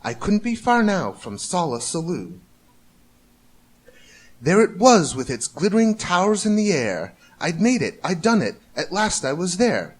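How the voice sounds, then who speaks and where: A man's voice: high-pitched, sing-song, a little slow, slightly rough. A man narrating a rhyming storybook with theatrical, rhythmic delivery. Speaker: amateur storybook reader.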